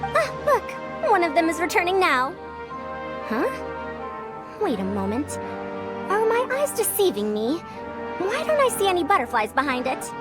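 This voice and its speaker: A woman's voice: very high-pitched, huge pitch swings, unhurried, long pauses, over music, exaggerated voice. A woman voicing a proud, youthful-sounding scholar who lectures like an elder in old-fashioned phrasing, in a video game. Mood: puzzled. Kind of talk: animated video game voice acting.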